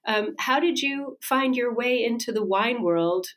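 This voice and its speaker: A woman from Ohio hosting a podcast, who opens the show and interviews her guest in a warm, encouraging way. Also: on the high side, measured pace. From Ohio, United States. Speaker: podcast host.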